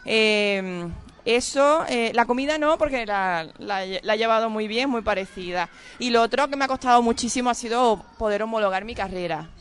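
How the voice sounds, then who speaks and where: A woman's voice: fairly high pitch, normal speed, smooth. Hesitant woman with lots of tag questions. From a Caribbean island. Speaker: guest. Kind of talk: conversational interview answers.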